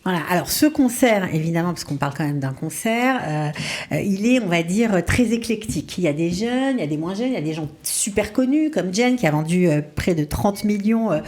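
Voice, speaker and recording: A woman's voice: fairly low pitch, lively intonation, a little fast, a little mellow. A woman interviewing guests in a casual, chatty style.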